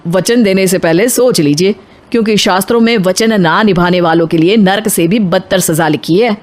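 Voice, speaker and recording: A woman voicing a solemn male wedding priest with a measured manner in a moral story. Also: mid-range voice, a little mellow, close to an everyday voice. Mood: grave.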